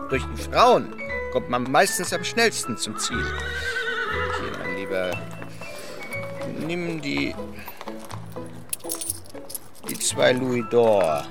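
An actor playing a self-assured, patronizing Parisian journalist in a German radio play, speaking with worldly, instructing ease. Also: male voice, medium pitch. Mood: brisk, generous. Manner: worldly, instructing, with small hesitations.

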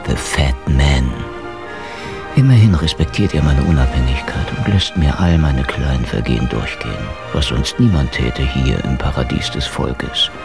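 Very deep, sardonic noir detective. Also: male voice, moderate pace, gravelly, music in the background. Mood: wry, weary. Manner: dry, sarcastic, laconic. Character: sardonic, world-weary Soviet-era private detective. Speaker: first-person narrator and lead character. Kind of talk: noir radio drama monologue and dialogue.

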